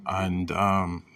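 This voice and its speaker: A man with a Jamaican accent hosting a podcast, giving a casual, reflective motivational monologue with frequent pauses and "you know". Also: low voice, gravelly. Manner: reflective, pausing often, repeats "you know". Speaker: podcast host. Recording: distant mic with music behind.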